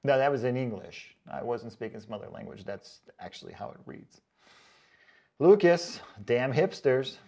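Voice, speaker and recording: A man hosting a casual vlog, reading out comments in a chatty, joking way with sarcastic asides and drawn-out comic emphasis. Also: medium pitch, animated, vocal fry, a little mellow. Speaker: online boot reviewer and video host.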